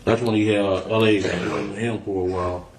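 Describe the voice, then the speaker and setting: A man with a fairly low voice talks as the one being questioned in a police interview. He tells stories in loose, profane street slang. The room echoes and there is light noise on the recording. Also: fairly even intonation, moderate pace. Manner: profane, slangy storytelling, acting out quoted exchanges.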